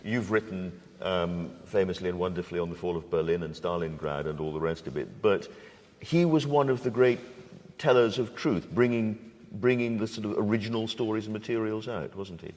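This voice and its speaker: A man hosting a literary panel, conversational, animated and enthusiastic as he questions the guests. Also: on the low side, fairly quick. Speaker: host, panel chair. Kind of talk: conversational interviewing at a literary event. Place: large reverberant hall, live panel event. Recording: echoey hall with long reverb.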